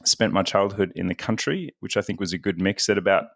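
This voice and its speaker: A man's voice: sits low, brisk, gravelly, no room sound, studio quality. A man, a podcast guest talking casually and laughing often at himself. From Australia. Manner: chatty, self-deprecating, laughs often.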